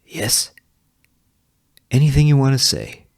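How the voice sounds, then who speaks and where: Wild West lawman played by a man: domineering, measured, cruel, holding court in a TV western script. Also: mid-range voice, sing-song, creaky, pitched above the performer's own voice, heavily acted. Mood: smug. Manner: deliberate, domineering. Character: sadistic, commanding Wild West sheriff acting as judge.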